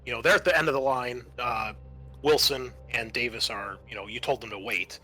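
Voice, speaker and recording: Bright-voiced man narrating a tabletop game. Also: medium pitch, steady tone, breathy edge. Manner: conversational, improvised, halting narration. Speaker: game master.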